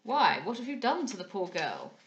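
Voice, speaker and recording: A witty, teasing gentleman of society played by a woman reader, speaking formally with mock gravity. The lines come from a period novel read aloud, with a roomy echo around the voice. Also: mid-range voice, big pitch swings, normal speed, muffled recording, a little hiss or hum, close to an everyday voice. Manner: arch, mock-grave, formal. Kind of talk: dramatised audiobook reading of a period novel.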